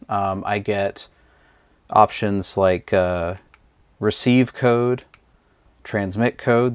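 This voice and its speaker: Low-voiced man explaining a gadget. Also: dry recording. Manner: relaxed, explanatory, talks while handling the device. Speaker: product reviewer. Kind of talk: casual hands-on gadget walkthrough.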